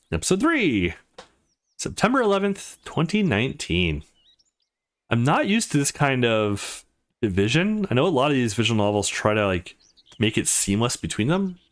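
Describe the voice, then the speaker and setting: A man hosting a let's play, giving casual commentary on a game. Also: a little high. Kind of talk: reading game dialogue aloud and casual commentary.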